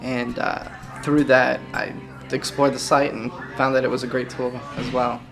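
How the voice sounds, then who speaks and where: Man from Chicago, gravelly, hesitant with fillers, music in the background. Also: medium pitch, little pitch movement, normal speed. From Chicago, Illinois. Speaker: site volunteer. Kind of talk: interview answers.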